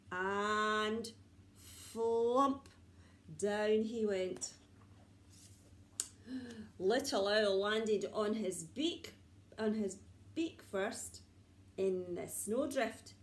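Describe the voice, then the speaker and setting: A woman tells a children's story in a high, very lively voice. She goes slowly, with dramatic pauses and suspenseful repetition, in a room with noticeable echo. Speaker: nursery teacher reading a story. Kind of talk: expressive storytelling for young children. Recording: echoey room.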